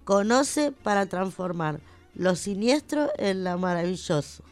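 Woman co-hosting radio, really clean smooth voice, measured talk, music behind her. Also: medium pitch, lively intonation. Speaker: radio co-host. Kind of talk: measured talk-show commentary. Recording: music under the voice.

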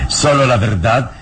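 A man acting a calm, wise, commanding adventurer hero in an old radio serial, speaking deliberately and dramatically. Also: medium pitch, background noise.

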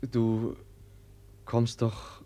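An actor playing a gentle, naive young prince in a 1950s radio play, speaking hesitantly and earnestly. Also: male voice, mid-range voice, completely flat delivery, drawn-out words. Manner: hesitant, soft-spoken, earnest. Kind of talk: 1950s radio drama acting.

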